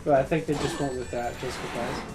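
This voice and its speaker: A man's voice: medium pitch, steady tone, brisk. A man chatting casually as co-commentator on a let's play, nitpicking in an exasperated way. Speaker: co-commentator on a gaming video. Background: video game music.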